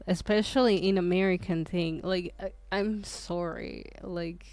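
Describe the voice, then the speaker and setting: A woman's voice: on the low side, fairly even intonation, unhurried, darkish tone. A woman from Croatia, chatting casually on a livestream, hesitant and rambling with lots of fillers and restarts. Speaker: streamer.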